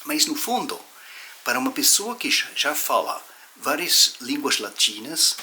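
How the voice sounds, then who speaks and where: A man's voice: medium pitch, very animated, a little slow, pushed and bright, slight background noise. A man in his fifties with a foreign, non-native accent, talking informally and explaining as he searches for his words. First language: not Portuguese, a second language. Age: 50s. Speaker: language vlogger. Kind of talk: informal motivational talk about language learning.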